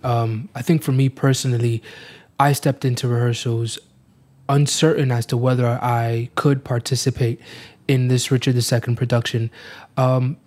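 Young guy from new york, actor, middle pitch, talking reflectively in an interview. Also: darkish tone. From Washington Heights, New York. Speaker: stage actor.

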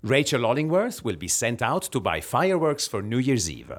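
A proud Italian underling in a comedy audio drama. The voice is husky, with very animated intonation and a defensive streak. The accent is Italian. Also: male voice, medium pitch, normal speed. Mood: proud, dramatic.

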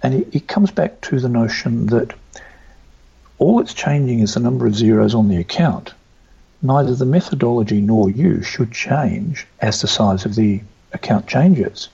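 A man with a gravelly, husky, low voice talks trading in a measured, deliberate way. His tone is dark and relaxed, and the recording sounds dull and lo-fi. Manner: measured, deliberate, small restarts. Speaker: trading mentor. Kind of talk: interview answer, explaining.

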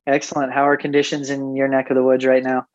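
A man's voice: medium pitch, completely flat delivery, fast talker, no echo, dull audio. A man hosting an interview podcast, introducing and questioning his guest. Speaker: podcast host.

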